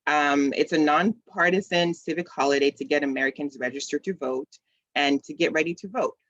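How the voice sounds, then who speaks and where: A man's voice: fairly high pitch, fairly quick, fairly bright tone, no room sound. A man in his thirties from the Congo, hosting a community video call in a casual, conversational way with many ums and restarts. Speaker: community event host. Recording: slightly dull, dry call audio.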